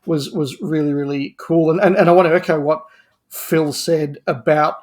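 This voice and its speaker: A man in a casual podcast discussion, talking as a guest panellist and telling things in an animated way. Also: on the high side, moderate pace.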